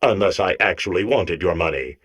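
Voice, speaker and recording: A man playing a smug, tricky robot core in a comedic video game, speaking in a deadpan, self-contradicting way. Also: sits low, a little fast. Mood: sly deadpan.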